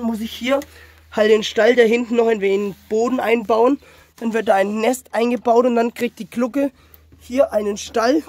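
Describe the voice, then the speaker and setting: A man's voice: very high voice, darkish tone, dry recording. Young man with a cold, rambling farm vlog. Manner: rambling, self-correcting, addresses viewers directly. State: has a cold, slightly hoarse. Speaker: small-animal farm vlogger. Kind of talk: casual walk-around vlog. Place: outdoors on a small farmyard. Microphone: handheld phone camera.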